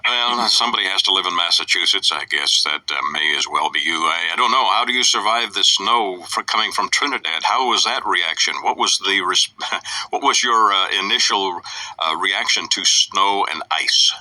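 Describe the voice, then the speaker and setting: Deep-voiced man hosting an interview. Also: sharp tone, no echo, rough recording. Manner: halting, many uhs, light joking. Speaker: talk show host. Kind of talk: interview host.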